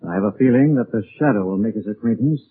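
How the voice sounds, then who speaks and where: An actor playing a composed gentleman sleuth who reasons gravely and deliberately, in 1940s radio style. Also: lowish voice, background noise, lightly acted. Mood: deductive. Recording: muffled, narrow-band 1940s radio recording.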